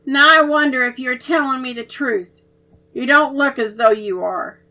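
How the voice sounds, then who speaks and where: A woman voicing a stern young man, the twins' older brother, who speaks in firm, measured tones. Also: high-pitched, pushed and bright, voice pushed up, poor audio. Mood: reproachful.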